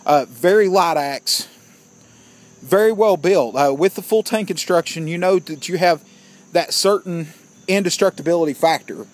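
A man with a fairly high-pitched voice talks through an outdoor gear review in a casual, plainspoken way. He is opinionated and keeps addressing his viewers as guys. Crickets chirp behind him. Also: normal speed. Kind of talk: casual product review vlog.

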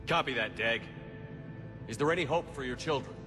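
An actor playing a calm, determined human military commander giving clipped orders in a game cutscene. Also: male voice, mid-range voice, brisk, big-room sound, music in the background, lightly acted. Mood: steady command. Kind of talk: video game cutscene acting.

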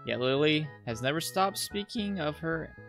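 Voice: mid-range voice, animated, normal speed, fairly bright tone. A streamer reading a visual novel aloud as narration, stumbling now and then over the text. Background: background music.

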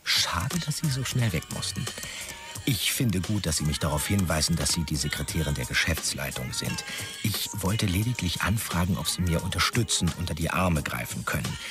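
An actor playing a self-important, pushy and sleazy young dot-com manager in a radio drama, with officious, jargon-heavy delivery. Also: male voice, low voice, lively intonation, moderate pace, music in the background. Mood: smarmy. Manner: officious business jargon, heavy English buzzwords. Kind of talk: radio drama, characters reading out e-mails.

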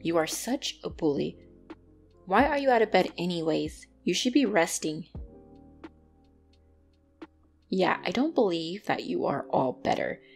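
Woman acting a bratty tsundere catgirl, very expressive. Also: on the low side, moderate pace, gravelly, faint music behind. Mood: annoyed, scolding. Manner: indignant, defensive, stammering when flustered. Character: bratty, flustered young female tsundere catgirl. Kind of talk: ASMR roleplay acting addressed to the listener.

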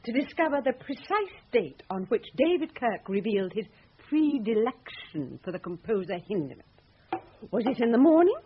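A woman's voice: sits high, slightly rough, heavily acted. A woman acting in a radio drama as a scornful, exasperated wife with a mocking, cutting manner. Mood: angry, sarcastic. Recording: tinny, band-limited radio drama recording.

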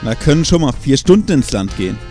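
A man's voice: mid-range voice, very animated, fast talker, warm tone, soft background music, natural voice. A man playing a sarcastic young camping buddy with a wry, colloquial delivery in a comedic audio drama. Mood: playful.

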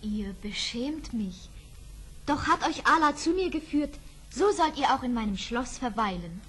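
An actress playing a gentle, poised young princess with a calm, gracious manner and a breathy voice in a fairy-tale radio play. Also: female voice, sits high, a little slow, heavily acted. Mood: tender.

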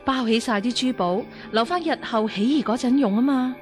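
Woman acting stern royal nun, commanding, measured, higher-pitched voice, Cantonese radio drama, faint music. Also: close to an everyday voice. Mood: authoritative. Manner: measured, authoritative. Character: stern, shrewd martial-arts nun princess, calm and commanding.

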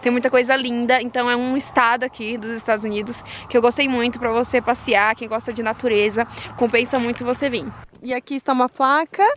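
High-voiced young Brazilian woman vlogging. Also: steady tone, quick pace, fairly bright tone. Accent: Brazilian Portuguese. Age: 20s. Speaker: travel vlogger. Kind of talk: casual vlog chat to viewers. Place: outdoors by desert roadsides and trails. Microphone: camera at arm's length. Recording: outdoor ambience noise, handheld camera.

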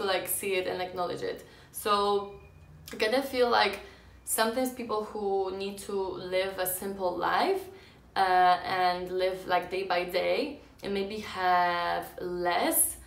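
A woman's voice: medium pitch, creaky, a bit bright, slight background noise. Young woman rambling to her cam, lots of "like" and restarts, roomy bedroom echo. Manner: rambling, many fillers and restarts. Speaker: vlogger. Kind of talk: casual chat to camera. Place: bedroom indoors. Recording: echoey room.

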